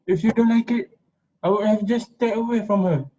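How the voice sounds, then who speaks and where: An earnest student who pleads and takes the blame, acted by a man in an amateur drama. The voice is high and mellow, with a husky grain. The recording sounds a little dull. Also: fairly even intonation, a little fast, lightly acted. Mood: pleading, accusing. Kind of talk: amateur reader's theater acting.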